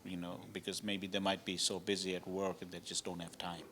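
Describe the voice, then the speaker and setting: A man's voice: low-pitched, flat delivery, fairly quick, fairly bright tone. A man born in Zambia, a hotel owner, answering interview questions politely with many hesitations.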